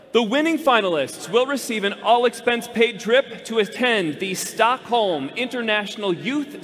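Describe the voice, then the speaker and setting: A man in his thirties hosting an awards ceremony on an arena stage, speaking formally into a headset mic and building to emphatic, loud announcements of winners' names. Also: sits high. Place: large arena stage before a big audience. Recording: long echo of a big hall.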